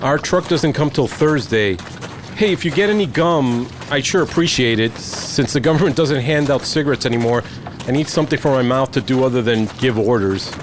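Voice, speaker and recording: Casting: man to play an easygoing, wry post-apocalyptic leader, mid-pitched and folksy, with clear background noise. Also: brisk, close to an everyday voice. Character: wry weary male mayor giving orders. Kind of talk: post-apocalyptic radio drama acting.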